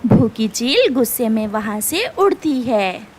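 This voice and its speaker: A woman's voice: on the high side, very animated, unhurried, background noise. A woman reading scripted narration for a children's cartoon story.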